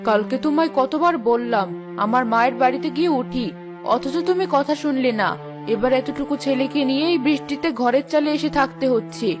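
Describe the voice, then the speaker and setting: A woman's voice: high-pitched, brisk, over music, natural voice. A woman voicing an anxious village wife and mother in a cartoon. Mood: reproachful. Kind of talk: cartoon dialogue.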